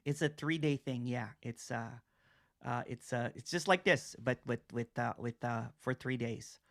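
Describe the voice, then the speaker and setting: A man hosting a casual talk on nonduality over a video call, relaxed and halting, with many "uh"s. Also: mid-range voice. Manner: relaxed, halting with "uh", laughs easily. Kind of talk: casual chat.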